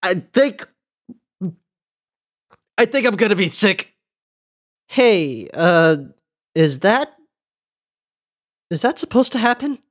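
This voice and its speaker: Female voice actor, unhurried and theatrical, very animated pitch, improvised demo-reel acting. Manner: expressive, theatrical.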